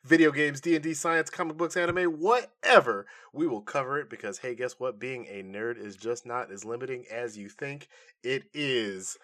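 Man, mid-pitched voice, podcast host, casual chat, animated, informal explainer. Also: normal speed.

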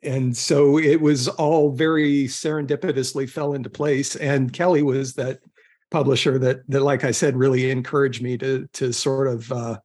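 Male guest author, southern California native, mid-range voice, informal storyteller with a halting, self-interrupting delivery. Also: mid-range voice, level tone, a little mellow. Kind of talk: casual conversational storytelling.

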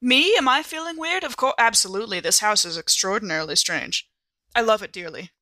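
A woman playing a tabletop roleplaying game on a podcast, chatting in character in an expressive, questioning way. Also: mid-range voice, brisk, dry recording. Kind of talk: casual in-character improvised chat.

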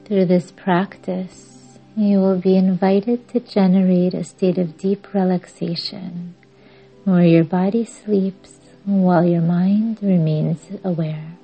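A woman guiding a yoga nidra meditation in a measured, soothing, instructing way with long pauses. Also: on the low side, steady tone, warm tone, slightly rough. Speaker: meditation podcast host and guide. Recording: dull lo-fi audio under music. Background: music.

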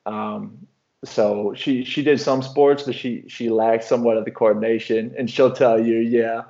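A young man from Illinois talking casually in an interview, relaxed and rambling, often restarting his sentences. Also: mid-range voice, measured pace, lo-fi sound. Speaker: professional basketball player.

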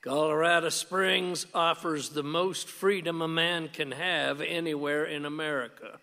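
A man's voice: on the high side, fairly even intonation, unhurried, sharp tone. An actor playing a proud, paranoid old grandfather in a radio play, with a sardonic and ominous manner. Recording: reverberant hall.

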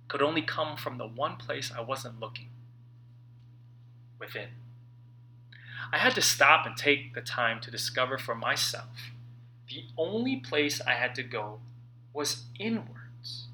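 Male self-help author-narrator: lively swings in pitch, sharp intense tone, emphatic dramatic pauses. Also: a little hiss or hum, rough recording. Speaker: author reading own book.

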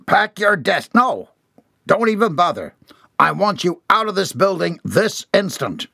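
A man acts out a stern old shipping boss for an audiobook. He is forceful and accusing, with a bellowing streak and a voice pushed up from a normal male pitch. The sound is close and dry. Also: animated, normal speed, gravelly, no echo, exaggerated voice. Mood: furious. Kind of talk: dramatised audiobook dialogue.

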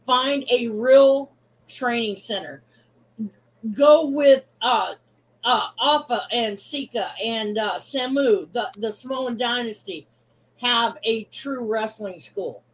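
A woman's voice: on the high side, takes it slowly, rough recording. A woman in her sixties, a former wrestler as interview guest, speaking frankly and bluntly with some teasing. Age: 60s. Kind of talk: casual interview chat.

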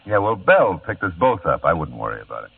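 An actor playing an easygoing, wisecracking private detective who talks in a dry, relaxed way and narrates the story. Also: male voice, low-pitched, fast, creaky, slight background noise, full character voice. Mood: easy charm. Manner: dry, laid-back, wry. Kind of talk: 1940s radio acting. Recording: tinny old radio broadcast with loud music.

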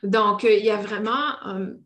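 French Canadian woman, halting unscripted talk. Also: mid-range voice, fairly bright tone. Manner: halting, searching for words, repeating phrases. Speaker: spiritual teacher hosting a live stream. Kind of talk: unscripted live talk to online viewers.

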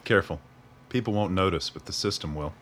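Radio play man, early thirties: low pitch, easygoing, cautious, conversational. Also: brisk, fairly bright tone, a little hiss or hum. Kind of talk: audio drama dialogue.

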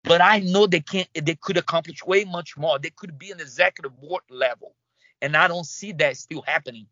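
Halting Brazilian-accented man, bright voice. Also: on the high side, dry recording, highs cut off. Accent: Brazilian Portuguese. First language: Portuguese. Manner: halting, many restarts and fillers. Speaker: guest. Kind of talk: conversational interview.

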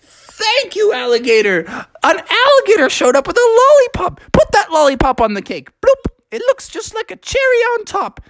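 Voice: extremely high pitch, sing-song, moderate pace, pitched above the performer's own voice, heavily acted. A storyteller voicing an exuberant elderly cartoon frog, childlike and full of slangy exclamations. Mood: elated. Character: excitable elderly male frog having his hundredth birthday.

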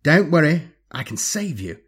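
A man's voice: fairly high pitch, sing-song, normal speed, fairly bright tone, slightly rough, voice pushed up, full character voice. A man voicing a gleeful, melodramatic inhuman machine villain in a taunting, theatrical manner for a sci-fi audiobook.

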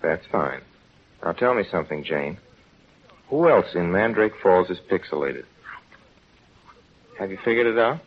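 An actor playing an earnest, plain-spoken small-town young man in a 1930s radio drama, folksy and halting. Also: low-pitched, expressive. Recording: dull, noisy 1930s radio recording.

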